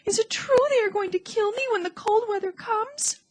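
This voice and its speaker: A woman voicing a young male pig who is frightened and sobbing, with a shrill, wailing manner. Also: big pitch swings, moderate pace, a bit bright, slightly rough, heavily acted. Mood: panicked, sobbing.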